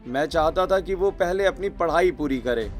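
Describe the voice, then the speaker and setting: A man's voice: on the high side, animated, moderate pace, soft background music, close to an everyday voice. A man voicing a short-tempered, troubled young husband in a cartoon story, emphatic and complaining. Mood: troubled, defensive. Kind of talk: Hindi cartoon story voice acting.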